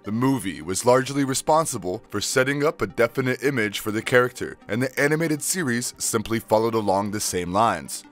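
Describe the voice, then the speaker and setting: A man with a medium-pitched voice delivers scripted recap narration. He works as a video narrator, with faint music playing behind him. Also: expressive, a little fast, few pauses, fairly bright tone.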